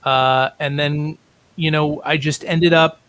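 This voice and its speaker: A man's voice: on the high side, steady tone, takes it slowly, fairly bright tone. A middle-aged man, a writer being interviewed, telling stories casually in an informed, discursive, self-correcting way. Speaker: writer and playwright guest.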